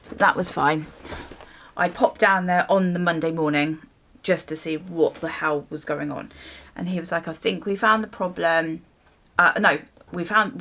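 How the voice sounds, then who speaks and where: A woman's voice: fairly low pitch, a bit bright. A British woman vlogger chatting casually through a story, exasperated and sweary, acting out both sides of conversations. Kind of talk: casual chatty storytime while getting ready.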